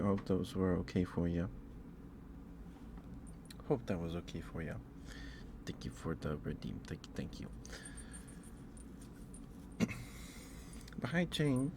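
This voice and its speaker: A man's voice: deep voice, animated, a little slow, pauses often, background noise. A man livestreaming ASMR, chatting casually and cooing affectionately to viewers, often dropping into a whisper close to the mic.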